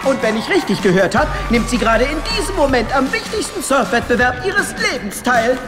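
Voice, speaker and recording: Children's audio drama: gushing mermaid morning host, high voice, very animated delivery. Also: female voice, a little fast, loud music behind the voice. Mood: bright, gushing. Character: bubbly female mermaid morning-TV presenter. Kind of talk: German children's audio drama, TV presenter patter.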